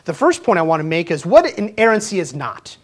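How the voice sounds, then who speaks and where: A man's voice: sits high, big pitch swings, fairly quick. A man of fifty, a pastor, preaching a sermon in an earnest, conversational way, full of rhetorical questions and small restarts. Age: 50s.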